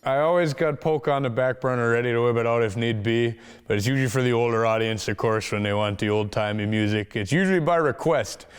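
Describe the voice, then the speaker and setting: A man's voice: medium pitch, fast talker, few pauses, clean tone. Male performer from Minnesota, joking, self-deprecating, mid-range voice. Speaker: stuntman, comedian and musician. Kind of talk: casual interview and stage banter.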